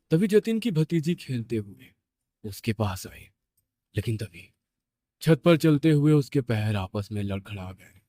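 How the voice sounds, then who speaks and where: A man's voice: medium pitch, warm tone, no echo. A man narrating a scripted horror story as the storyteller.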